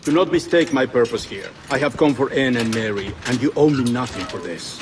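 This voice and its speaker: An actor playing a courteous, resolute rescuer with a measured, formal manner. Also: male voice, mid-range voice, expressive, lightly acted. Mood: calm, urgent resolve. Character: calm, grave male rescuer, courteous and resolute. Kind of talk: video game cutscene acting. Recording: noisy ambience behind.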